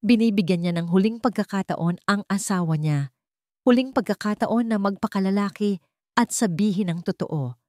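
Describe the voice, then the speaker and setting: A woman's voice: medium pitch, moderate pace, a little mellow, no room sound, clean studio sound. A woman reading a dramatic scripted audiobook story as its narrator.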